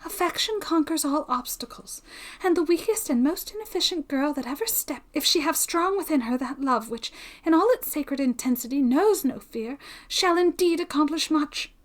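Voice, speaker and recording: A woman voicing an anxious young woman in love, with a breathy, emotional, pleading delivery, in an audiobook reading. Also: high voice, expressive, moderate pace, pitched above the performer's own voice. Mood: earnest.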